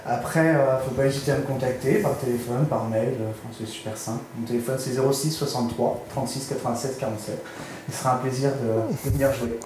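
A man's voice: medium pitch, steady tone, fairly quick, a little mellow. A man in his thirties from northern France with a French accent, casually answering interview questions into a handheld microphone. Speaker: musician being interviewed. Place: small furnished indoor studio. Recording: very echoey room with clear noise.

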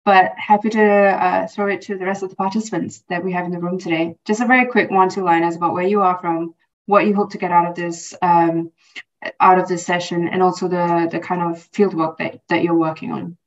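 An upbeat woman from Singapore leads an online workshop, chatting easily with plenty of ums. Her voice sits a little low and sounds distant. The call audio is dull and muffled. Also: steady tone, fairly quick. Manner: upbeat, warm hosting with frequent fillers. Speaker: workshop speaker. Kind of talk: online webinar presentation. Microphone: video call.

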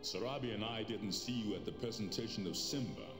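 A man's voice: fairly quick, close to an everyday voice. An actor playing a wise, noble male lion king speaking to his son in a commanding way. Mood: stern. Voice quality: deep, commanding. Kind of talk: storybook character voice. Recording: music playing behind.

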